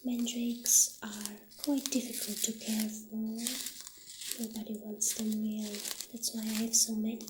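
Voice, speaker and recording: Female ASMR shopkeeper role, soft and half-whispered, higher-pitched, slow delivery, roomy sound with background noise. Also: little pitch movement. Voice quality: soft, breathy, often whispery. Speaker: ASMR performer playing a witch shopkeeper.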